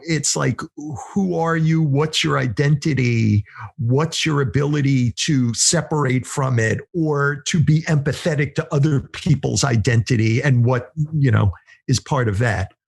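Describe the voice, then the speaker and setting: A man's voice: medium pitch, a little slow, vocal fry, husky. A man co-hosting a casual podcast, musing aloud, asking questions and teasing his co-host. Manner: musing, asks questions, teases.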